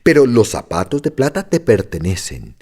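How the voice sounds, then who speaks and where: Male narrator doing a sweet old witch, gentle and lilting. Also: medium pitch, wildly swooping pitch, moderate pace, breathy edge, full character voice. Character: kindly little old witch woman.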